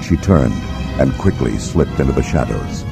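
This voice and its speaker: A man's voice: a bass voice, lively intonation, normal speed, creaky, music in the background. A man narrating a dramatic scripted storybook as its narrator.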